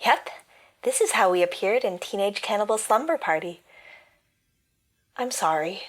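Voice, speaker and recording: A woman voices a young girl in an audio drama, earnest and quick to apologize. Her voice sits at a middle pitch, with a plucky streak. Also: animated, natural voice. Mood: apologetic.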